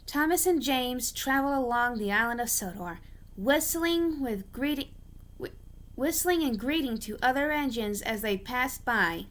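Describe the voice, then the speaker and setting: A woman narrates a story as an amateur reader. Her voice is fairly high and sharply bright. She often stumbles and goes back over a phrase. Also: animated, a little slow. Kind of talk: storybook narration read aloud.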